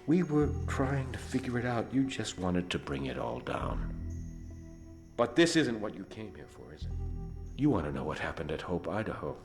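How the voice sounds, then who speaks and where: An actor playing a knowing, menacing insider who speaks in a slow, taunting, theatrical way in a video game cutscene. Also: male voice, low-pitched, big pitch swings, vocal fry, full character voice. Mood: accusing, knowing. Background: loud dramatic music.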